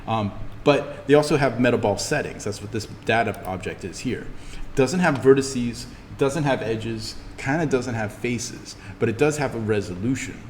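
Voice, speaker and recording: A man teaching an informal in-class software tutorial, thinking aloud haltingly as he demonstrates. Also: on the low side. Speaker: instructor teaching 3D animation software. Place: classroom, indoors. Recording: echoey room with background noise.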